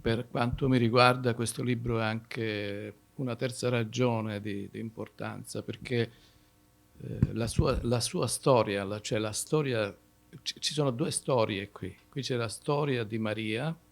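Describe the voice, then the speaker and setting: A man's voice: mid-range voice, a little slow, gravelly. A man from Italy, a historian guest, talking unscripted at an event and restarting phrases as he thinks aloud.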